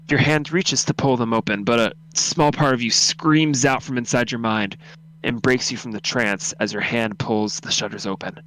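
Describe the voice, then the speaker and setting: A man's voice: medium pitch, a bit bright, low-quality recording. A man narrating a second-person horror story as a live radio drama read-through.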